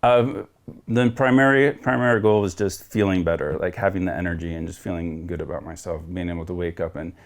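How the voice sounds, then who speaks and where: A man's voice: low-pitched, a little fast. A man in his forties from Florida, sharing his personal story as a coaching client over a video call, earnest and reflective, rambling with restarts. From Florida, United States. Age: 40s. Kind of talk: candid personal testimonial.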